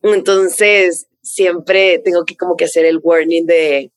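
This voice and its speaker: A young woman from Venezuela whose accent has picked up Mexican features, answering interview questions in a fast, animated way and repeating words for emphasis. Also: fairly low pitch, dry recording. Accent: Venezuelan with Mexican influence. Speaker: content creator, guest.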